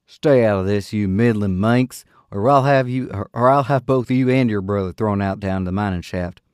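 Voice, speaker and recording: A man playing a lumbering, belligerent drunkard of the Old West, loud and threatening, for an audiobook. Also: fairly low pitch, moderate pace, clear, resonant tone, dry recording, clean studio sound, close to an everyday voice. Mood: drunken menace.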